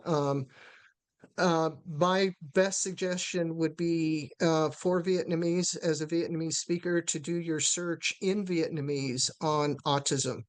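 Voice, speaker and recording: A woman presenting a live webinar, answering questions haltingly with many fillers and restarts. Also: low voice, fairly even intonation.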